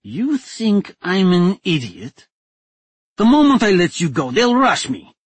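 A cornered male thief, tense and snapping, as a man plays him. The voice is breathy and lively in pitch, with a dry, close sound like an audiobook. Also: takes it slowly, no room sound, exaggerated voice. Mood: desperate. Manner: desperate, snapping. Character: male thief holding a hostage, tense and desperate.

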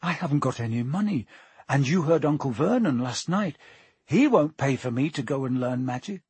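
A man's voice: medium pitch, expressive, normal speed, a little mellow, husky, no room sound. A man voicing an earnest, curious young wizard boy in a hesitant, questioning manner. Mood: hesitant, worried.

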